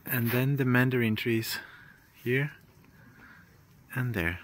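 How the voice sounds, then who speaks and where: A man's voice: medium pitch, slow pace, fairly bright tone. A man in his thirties chatting casually as he vlogs outdoors on a hillside farm, phone held at arm's length, with fillers and restarts. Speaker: vlogger. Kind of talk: casual travel vlog narration.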